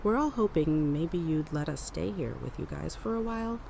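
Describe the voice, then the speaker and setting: A woman playing a kindly, playful family friend in an audio drama, talking gently as if to a child, with a creaky voice. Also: sits low, animated, moderate pace, a little hiss or hum. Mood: gentle. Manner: gentle, simple talk as to a child.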